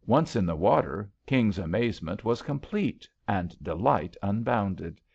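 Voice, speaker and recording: A man's voice: fairly low pitch, animated, a little slow, creaky, darkish tone, slightly rough. A man reading an old-fashioned love story aloud as a scripted audiobook narration. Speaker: audiobook reader. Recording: slightly dull, lo-fi audiobook recording.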